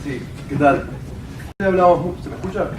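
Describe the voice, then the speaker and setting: A man in the audience asking a question, halting and searching for words with many fillers. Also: high voice. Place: lecture room. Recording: roomy hall, speaker far from the mic, faint hiss.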